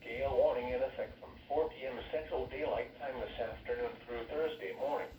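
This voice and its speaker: A man's synthetic-sounding announcer voice reading scripted forecasts and notices over weather radio in a formal, measured way. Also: medium pitch, steady tone, darkish tone, distant mic, slight background noise. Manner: formal, automated, evenly measured delivery. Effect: weather radio broadcast voice. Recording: radio speaker picked up in an echoey room. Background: weather radio playback.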